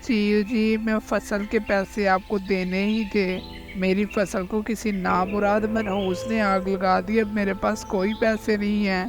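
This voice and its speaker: Man voicing a high-pitched pleading cartoon crow. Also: little pitch movement, measured pace. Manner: deferential, pleading. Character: anxious, pleading male crow farmer in debt. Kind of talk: Hindi cartoon voice acting. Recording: faint music behind the voice.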